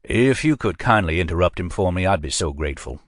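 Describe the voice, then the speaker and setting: A man's voice: deep voice, expressive, a little fast, no echo, clean studio sound. A man voicing a polished, cunning male FBI agent with a Southern accent, courteous but coolly commanding.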